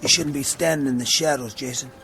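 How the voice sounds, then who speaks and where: An actor playing a tough, impatient gunfighter in an old Western drama, blunt and clipped, with an American accent. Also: male voice, medium pitch, fairly even intonation, a little fast, gravelly, natural voice, low-quality recording. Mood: impatient, demanding. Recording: vintage TV drama audio with music.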